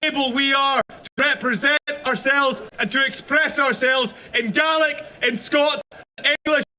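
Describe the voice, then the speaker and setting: A man's voice: extremely high pitch, steady tone, a little slow, sharp tone, low-quality recording. A man acting as compere at an outdoor rally, enthusiastically introducing the next speaker. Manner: enthusiastic, rousing. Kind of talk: stage introductions.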